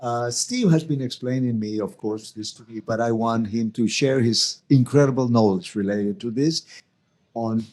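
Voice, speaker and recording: Guy hosting an interview show, mid pitched voice, really effusive with the audience. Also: moderate pace, darkish tone. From El Salvador. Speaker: show host.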